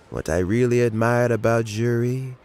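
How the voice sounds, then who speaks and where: A man playing a dignified elderly statesman in a video game, gravelly, formal and thoughtful. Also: medium pitch, darkish tone. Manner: measured, formal, reflective.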